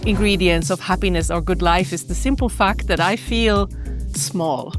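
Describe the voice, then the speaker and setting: A middle-aged woman from southern Finland, speaking thoughtfully as a podcast guest, pausing and restarting her phrases. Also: on the low side, music in the background. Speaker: podcast guest, cultural institute director. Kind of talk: reflective conversational talk.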